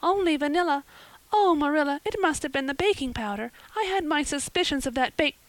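A woman's voice: fairly high pitch, lively intonation, fairly quick, no echo, pitched above the performer's own voice. A woman voicing a dramatic, talkative young orphan girl in a storybook, pleading earnestly and breathlessly. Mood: anguished.

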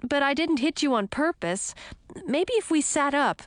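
A woman's voice: expressive, normal speed, no echo. A woman voicing an impulsive young princess turned frog in a storybook, blunt, eager and insistent. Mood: urgent, apologetic. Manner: exclaiming, insistent, high-strung.

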